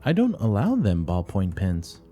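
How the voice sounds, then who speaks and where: A man's voice: sits low, huge pitch swings, unhurried, dark tone, soft background music, full character voice. A man voicing a timid young nun who teaches, speaking in a hesitant, deferential way in a play reading. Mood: meek, earnest.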